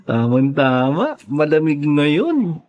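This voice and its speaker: A man's voice: a little high, a little mellow, heavily acted. A man playing a careless, hard-drinking young father in a theatrical Tagalog radio drama. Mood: smug, gleeful. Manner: exaggerated, theatrical delivery.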